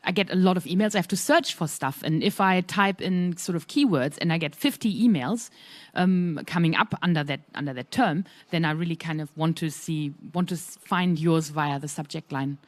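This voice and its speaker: A New Zealand woman on a panel chats easily, her voice a touch low. The sound is dry and close. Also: moderate pace, no room sound.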